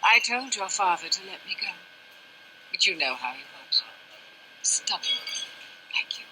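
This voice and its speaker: A woman playing a sharp-tongued, weary, dying older mother in a video game, with haughty, theatrical, genteel phrasing. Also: mid-range voice, very animated, unhurried, noisy background. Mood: weary, tender. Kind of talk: dramatic scripted game dialogue.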